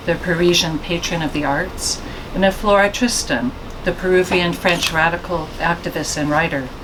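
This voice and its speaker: Soft-voiced woman reading an introduction. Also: lowish voice, background noise. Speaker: event introducer. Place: bookstore event space.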